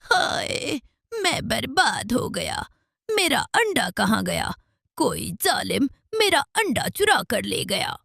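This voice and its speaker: A man's voice: very high-pitched, huge pitch swings, slow pace, creaky, bright, forceful tone, dry recording, heavily acted. A man voicing a distraught male parrot in a cartoon, wailing and melodramatic. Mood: wailing despair. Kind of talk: Hindi moral-story cartoon dialogue.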